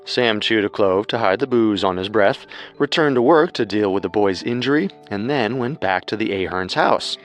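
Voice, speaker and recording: Low-pitched man narrating true crime. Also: expressive, moderate pace, faint music behind. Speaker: co-host and narrator.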